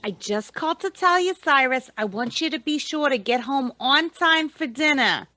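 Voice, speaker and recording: A woman's voice: sits high, expressive, moderate pace, sharp tone, no room sound, clean studio sound. A woman playing a sugary, doting wife in a radio drama, cooing and gushing.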